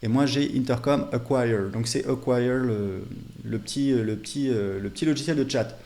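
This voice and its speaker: Mellow-voiced man explaining software casually. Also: mid-range voice, moderate pace. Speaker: online business coach presenting a software demo. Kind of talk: casual unscripted screen demo explanation.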